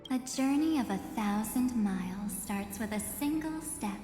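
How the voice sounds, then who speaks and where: An actress playing a calm, enigmatic female spirit in a video game, with measured, cryptic, theatrical delivery. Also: a little high. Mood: inviting, mysterious. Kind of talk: video game story voice acting. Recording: echoey hall-like reverb over music.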